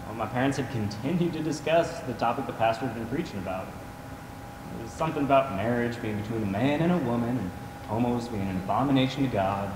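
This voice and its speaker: Guy acting a hurt young man onstage, halting, mid voice, echoey and far from the mic. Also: medium pitch, lightly acted. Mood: pained, confessional. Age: young adult. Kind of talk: stage play acting. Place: theater stage, indoors. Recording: echoey theater, distant, noisy.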